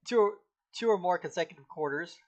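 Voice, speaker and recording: A man with a slightly high voice talks to himself at an easy pace as he studies live on stream. He keeps clearing his throat because it feels irritated, and his delivery stays laid-back as he recites notes from memory. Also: fairly quick. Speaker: streamer studying a textbook. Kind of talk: casual live-stream self-talk while studying.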